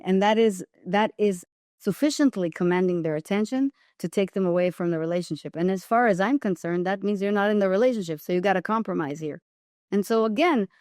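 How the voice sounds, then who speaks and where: An Israeli woman talks as a podcast guest in a mid-range voice. She is emphatic and measured, and she repeats phrases to drive them home. The recording is dry and close. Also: mid-range voice, darkish tone, no echo. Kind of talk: podcast interview.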